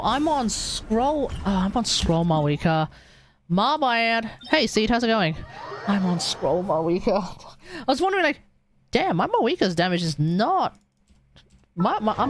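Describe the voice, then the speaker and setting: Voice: medium pitch, background noise. A game streamer rambling casually to themself and the chat while commentating live play. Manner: rambling, talks to self and chat. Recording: game music behind the voice.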